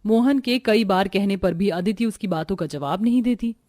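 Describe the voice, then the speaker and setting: A woman's voice: mid-range voice, normal speed, dark tone. A woman narrator reading scripted narration for a cartoon family story.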